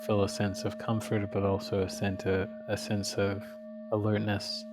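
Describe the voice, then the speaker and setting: Male meditation teacher, low and even in tone, gentle delivery with plenty of space between phrases. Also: over music. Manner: gentle, unhurried, pausing often between phrases. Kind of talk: guided meditation.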